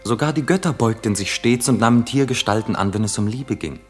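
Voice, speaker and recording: An actor playing an ardent, carefree young prince in love in a classical German radio drama. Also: male voice, medium pitch, brisk, faint music behind, natural voice.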